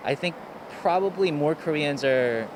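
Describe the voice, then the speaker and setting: A man's voice: a little high, expressive, measured pace. An American man from Chicago answering casually as an interviewee. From Chicago, United States. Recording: clear street traffic and hum.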